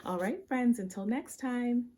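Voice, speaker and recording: A woman's voice: fairly high pitch, measured pace, darkish tone, slight background noise. A woman teacher reading poetry aloud expressively for children.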